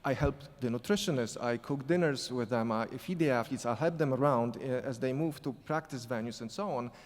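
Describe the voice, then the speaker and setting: A man with a non-native accent speaks at a conference panel, his mid-pitched voice carrying a long hall reverb. He talks in a halting way, with frequent restarts and fillers. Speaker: sport psychology consultant on a panel. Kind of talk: storytelling conference panel talk.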